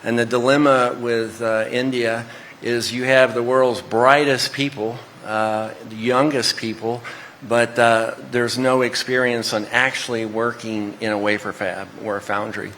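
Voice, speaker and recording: Texan male panelist, bright tone, easygoing delivery with fillers, faint noise behind. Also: medium pitch, flat delivery, unhurried, poor audio. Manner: hesitant, conversational. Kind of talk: panel discussion.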